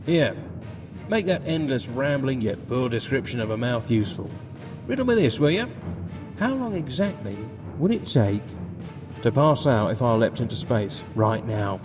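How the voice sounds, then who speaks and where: An actor playing a grumbling, sarcastic space delivery pilot in a comedy audio drama. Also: male voice, mid-range voice, animated, normal speed, music in the background, lightly acted. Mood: irritated, sarcastic. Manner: grumbling, sardonic, theatrical sighing.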